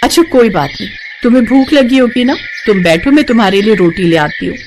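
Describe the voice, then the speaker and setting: Cartoon moral tale: actress as selfless young sister-in-law, high-pitched, caring, gently expressive. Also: female voice, a little fast, background noise. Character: kind, self-sacrificing sister-in-law, young married woman. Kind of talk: animated moral story dialogue.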